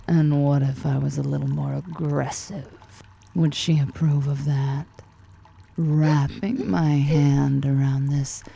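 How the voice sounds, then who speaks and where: A woman playing a seductive, powerful female drider in an ASMR roleplay, toying and sultry, murmuring with a breathy, husky edge. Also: low-pitched, mellow, heavily acted. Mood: teasing menace. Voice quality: breathy, husky whisper-edged.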